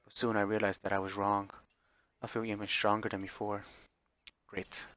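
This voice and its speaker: A man's voice: fairly low pitch, flat delivery, a little fast, a bit bright. A man reading a visual novel aloud as dramatic narration, sometimes stumbling and repeating phrases. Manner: stumbles over words and re-reads phrases.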